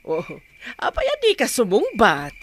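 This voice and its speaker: A woman with an Ilocano accent playing a sly, pushy woman in a radio drama, with dramatic drawn-out phrasing. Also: on the high side, huge pitch swings, slight background noise. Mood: coaxing.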